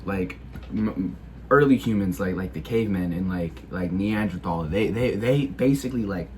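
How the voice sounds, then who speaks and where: Low-voiced teen boy, sick and halting. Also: a little mellow, slight background noise. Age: teens. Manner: hesitant, heavy with filler words and restarts. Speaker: video creator. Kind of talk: casual opinion monologue.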